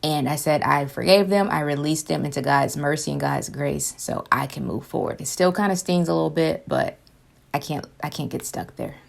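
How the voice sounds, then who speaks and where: Woman with a low, creaky American voice. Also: normal speed. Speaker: vlogger. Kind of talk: casual personal vlog.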